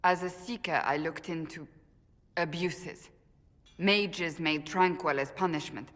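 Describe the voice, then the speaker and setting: A woman's voice: fairly low pitch, close to an everyday voice. An actress as a stern warrior woman, long reverb. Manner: grave, formal, deliberate phrasing. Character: stern, principled woman warrior, shaken by her order's betrayal. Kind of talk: dramatic fantasy game acting.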